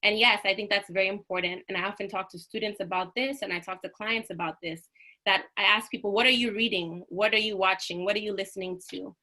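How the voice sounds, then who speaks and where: A young woman from the United States gives a prepared talk over a video call. Her voice is bright and clear, and her delivery is earnest and reflective, the way a lecturer speaks to a class. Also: medium pitch, steady tone, quick pace, low-quality recording. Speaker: panel speaker.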